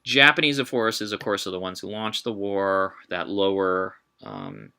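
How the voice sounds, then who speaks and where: A man giving an informal history lecture in a halting way, with emphatic, dramatic delivery when quoting. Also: deep voice, lively intonation, creaky, sharp tone, clean tone. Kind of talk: informal illustrated public lecture. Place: talk given to a live group.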